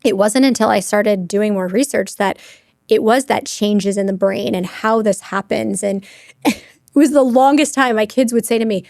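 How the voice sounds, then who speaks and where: A woman's voice: mid-range voice, normal speed, gravelly, darkish tone, dry recording, studio quality. A woman in her forties, a mother co-hosting a podcast in casual chat, telling earnest personal stories and sharing what she has read. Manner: earnest storyteller, shares personal anecdotes and research. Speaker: podcast co-host.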